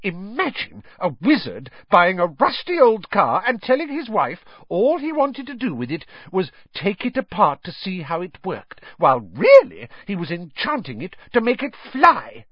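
A man voicing a furious middle-aged wife and mother who scolds in a sharp, indignant shout. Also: high voice, wildly swooping pitch, moderate pace, few pauses, slightly rough, no room sound, dull audio, voice pushed up, heavily acted. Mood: furious scolding.

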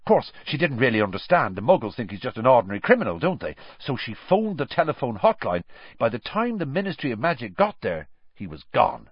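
A man voicing an excitable schoolboy sharing gossip eagerly and quickly. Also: mid-range voice, breathy edge, no room sound, dull audio, voice pushed up. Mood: excited.

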